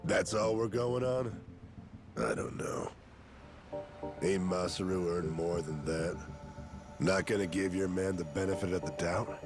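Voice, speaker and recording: A man playing a gruff, steadfast middle-aged gangster with a blunt, stern, folksy way of talking in a video game cutscene. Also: deep voice, unhurried, pauses often. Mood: stern, level. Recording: game music behind the voice.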